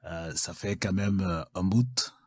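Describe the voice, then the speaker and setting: A man with a very deep voice answers interview questions in a measured way. He pauses with frequent filler sounds, and the recording is dry and close. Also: slightly rough, no room sound. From Cameroon. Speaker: guest, mining executive.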